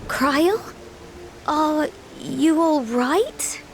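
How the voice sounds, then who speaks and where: Young female game character, gentle, anxious, very high voice, soft pleading delivery, noisy ambience. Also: lively intonation, very slow, full character voice. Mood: concerned. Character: gentle, anxious young woman, caring and hopeful. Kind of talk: video game voice acting.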